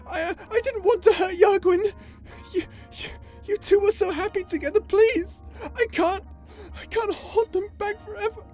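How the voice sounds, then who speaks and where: A woman playing an unhinged, vengeful, lovesick and tormented space villain in an ASMR roleplay drama, speaking in a theatrical, halting way. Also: very high-pitched, darkish tone. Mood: anguished pleading. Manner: theatrical, halting, swinging between tender menace and anguish.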